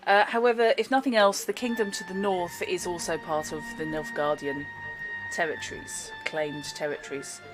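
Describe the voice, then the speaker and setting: Lady GM explaining the world, a bit low-pitched, music playing under her. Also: steady tone, normal speed, rough recording. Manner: chatty, explains lore with frequent laughs and fillers. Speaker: game master. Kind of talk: narrating a tabletop game. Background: background music.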